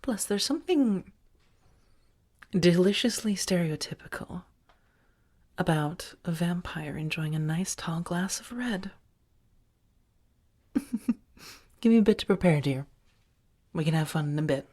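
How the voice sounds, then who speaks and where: A woman playing an affectionate, protective, elegant vampire roommate in a soft-spoken ASMR roleplay, tender and gently theatrical with breathy murmurs. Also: lowish voice, expressive, a little slow, pauses often. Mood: delighted, flirty.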